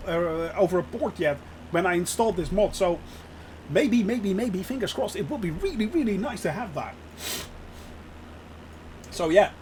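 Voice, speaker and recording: A man's voice: sits high, slight background noise. A Dutch man, native Dutch speaker, giving chatty live-stream commentary while flying a sim and answering his chat with frequent laughs. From Netherlands.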